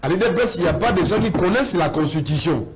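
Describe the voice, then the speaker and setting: Congolese guy explaining stuff in a political debate, highish voice, bit of room noise, emphatic. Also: lively intonation, fast talker. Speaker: lawyer debate guest.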